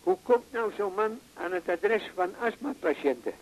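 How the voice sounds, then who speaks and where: A man's voice: high voice, sing-song, normal speed, soft background music, poor audio. An actor playing a chatty, boastful, jovial elderly male patient in a radio drama, with a folksy way of talking. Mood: puzzled, chatty. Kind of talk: naturalistic radio drama acting.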